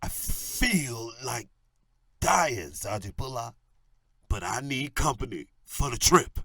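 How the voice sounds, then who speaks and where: A man playing a desperate, unstable gunman in an audio drama, halting and brooding in his speech. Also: mid-range voice, very animated, sharp tone, no room sound, exaggerated voice.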